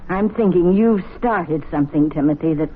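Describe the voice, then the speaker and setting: A grave, superstitious woman in an old radio play, scolding with ominous questions. Her voice is husky. The recording is thin and tinny, like a vintage broadcast. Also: fairly low pitch, normal speed. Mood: ominous, worried. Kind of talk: 1970s radio drama acting.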